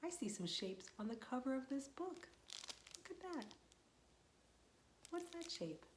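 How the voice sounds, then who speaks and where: A young woman librarian leading a storytime for young children, asking questions in a sing-song voice and dropping to soft whispers for suspense. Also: high-pitched, very animated, unhurried, a little hiss or hum.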